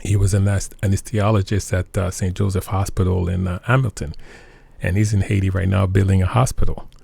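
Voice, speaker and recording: A middle-aged man talks in a personal interview. His voice sits low with noticeable fry, captured close and dry. He searches for words and repeats short phrases to stress them. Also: flat delivery, darkish tone, no room sound. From Montreal, Canada. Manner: halting, repeats phrases for emphasis. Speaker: interview guest, military veteran.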